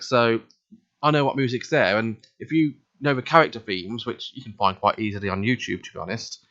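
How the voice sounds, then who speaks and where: A man with a mid-pitched voice hosts a let's play in a casual, slightly halting way. The sound is dull and very dry, like a simple home microphone. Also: a bit bright, dry recording. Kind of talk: reading visual novel narration aloud, casual commentary.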